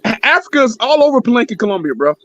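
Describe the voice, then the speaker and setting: High-voiced combative man on a dull stream. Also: very animated, fast, a bit bright, dry recording. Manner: combative, repeats himself, swears. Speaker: livestream host. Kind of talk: heated casual debate.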